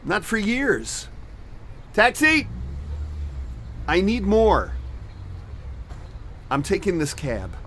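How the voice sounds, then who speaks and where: An actor playing a weary, resolute middle-aged husband in an audio drama, curt and detached. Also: male voice, sits high, huge pitch swings, drawn-out words, long pauses, bright, forceful tone, slight background noise, exaggerated voice. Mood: cold, impatient.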